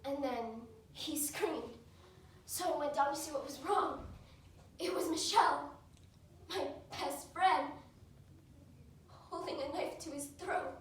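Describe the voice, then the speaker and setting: A woman performing a dramatic monologue as a grieving, guilt-ridden young woman, with emotional, deliberate delivery. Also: sits high. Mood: tense, haunted. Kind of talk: competition dramatic interpretation monologue. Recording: echoey room, far mic, faint noise.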